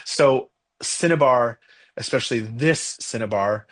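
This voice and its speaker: Halting, thoughtful man with a Mexican accent. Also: medium pitch. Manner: halting, many fillers and restarts, thinking aloud. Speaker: podcast co-host. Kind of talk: casual conversational discussion.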